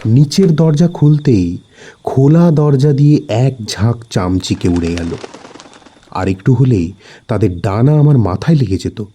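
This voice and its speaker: A man tells a suspenseful horror tale in a husky voice. His delivery is slow and dramatic, with expressive swings in pitch. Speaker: storyteller. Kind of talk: suspenseful horror audio story narration.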